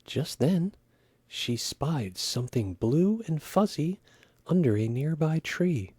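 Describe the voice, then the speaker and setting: A man reading a children's story aloud with expressive narration. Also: a little high, slow, husky, dry recording.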